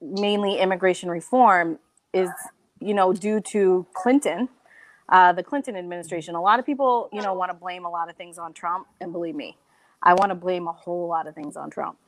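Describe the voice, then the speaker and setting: A woman in her forties from El Salvador, answering a question candidly and measuredly on a panel discussion. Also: on the low side. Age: 40s. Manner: candid, measured, with many fillers. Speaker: panel guest.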